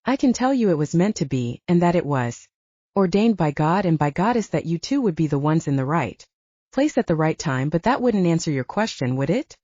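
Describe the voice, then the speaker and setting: A woman's voice: lowish voice, very animated, brisk, warm tone, no room sound. A woman narrator playing a serious, skeptical male mentor who speaks persuasively and matter-of-factly in an audiobook. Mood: serious, frank. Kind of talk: audiobook character dialogue. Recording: dull, band-limited audio.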